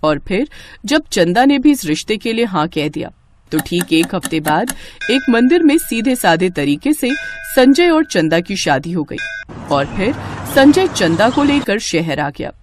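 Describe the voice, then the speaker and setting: A woman's voice: mid-range voice, big pitch swings, measured pace, over music. A woman reading scripted moral story narration as the storyteller.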